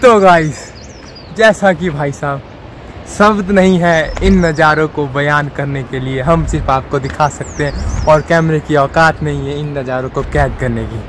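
A man's voice: on the high side, a little slow, a little hiss or hum. A man in his twenties chatting casually to camera as a travel vlogger, holding a phone at arm's length outdoors. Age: 20s. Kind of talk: casual vlog chat to camera. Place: outdoors on a forested mountain trail.

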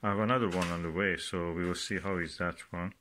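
A man giving casual, unscripted commentary as he shows items, speaking haltingly in short phrases with pauses between them. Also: deep voice, fairly even intonation. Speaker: gardening vlogger. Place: indoors at a work table. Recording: noisy home recording with clicks and rustling.

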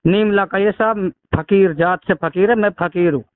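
High-voiced Mewati man on a tinny phone line. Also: moderate pace, dry recording. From Mewat region, India. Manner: rambling, aggrieved, swears. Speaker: caller making a complaint. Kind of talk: phone conversation, recounting a grievance. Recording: narrow-band, tinny phone call recording.

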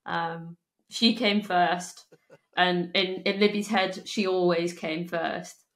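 A woman, chatting casually as an interview guest, halting and restarting often. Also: lowish voice, steady tone. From Liverpool, England. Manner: halting, with frequent restarts and ums. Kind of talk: casual conversational storytelling. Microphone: earphone mic on a video call. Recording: echoey room.

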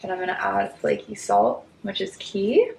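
A woman's voice: medium pitch, moderate pace, vocal fry, a little mellow, roomy sound, a little hiss or hum, poor audio. A young woman in her twenties chatting casually through a home cooking vlog, hungover, giggly and full of likes and ums. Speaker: cooking vlogger. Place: home kitchen and dining room, indoors.

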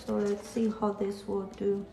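A woman with a Chinese accent, whose first language is Chinese, talks haltingly while she draws fashion illustrations on a live stream. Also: mid-range voice, monotone, creaky, music in the background. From China. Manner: halting, thinking aloud while drawing. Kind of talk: live-stream drawing commentary. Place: at a desk, drawing on paper.

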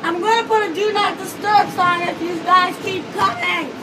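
A teenage girl chatting casually in a vlog on a hotel balcony above the beach, hoarse from losing her voice, rambling with lots of fillers. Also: very high-pitched, steady tone, moderate pace. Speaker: vlogger. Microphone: phone or webcam close in front. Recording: wind and wave noise outdoors. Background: ocean waves and beach noise.

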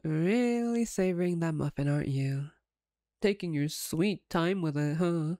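A woman's voice: low-pitched, a little slow, mellow, no echo, clean studio sound. A woman playing a playful, domineering female vampire captor in an intimate ASMR roleplay, teasing and sardonic.

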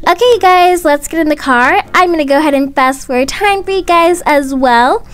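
A woman's voice: high-pitched, moderate pace, fairly bright tone. A woman narrating a scripted family roleplay story, voicing its characters. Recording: music playing underneath. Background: music.

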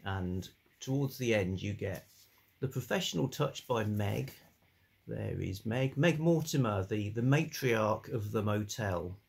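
An older man hosting a relaxed, rambling chat about old books from his home study, with a calm delivery and many fillers. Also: fairly low pitch, a little slow, creaky, breathy edge. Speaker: book channel host.

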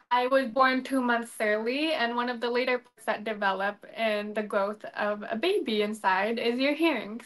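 A young woman answers interview questions in an earnest, polished way. Her voice sits a little high. She talks from a room over a video call. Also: fairly bright tone. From United States. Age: 20s. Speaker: pageant candidate, guest. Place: indoor room.

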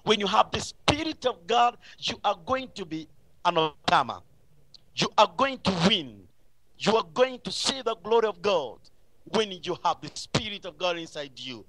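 High-pitched, bright-voiced man preaching. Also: no room sound, low-quality recording. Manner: emphatic, repetitive exhortation. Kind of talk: live radio sermon.

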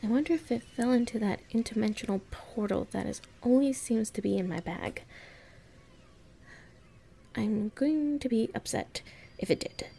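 Woman playing anxious, klutzy young heroine of an audio roleplay: halting self-talk, soft mellow tone, crackly fry. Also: on the high side. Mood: fretful searching. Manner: breathy, halting, murmuring to herself. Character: clumsy, anxious young human woman musing to herself. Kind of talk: audio roleplay monologue, thinking aloud.

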